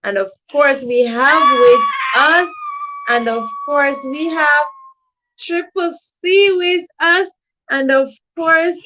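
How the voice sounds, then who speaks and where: A woman's voice: high-pitched, takes it slowly, pushed and bright, slight background noise. A woman with a Jamaican accent hosts a children's educational livestream, chatting to viewers in an effusive, repetitive way. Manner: effusive, repeats thanks and stock phrases. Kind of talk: live chat hosting with shout-outs. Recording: dull lo-fi livestream audio.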